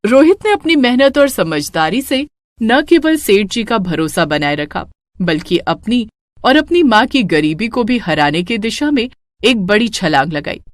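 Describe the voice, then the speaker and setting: Expressive woman narrating a story. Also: mid-range voice, a little slow, no echo, clean studio sound.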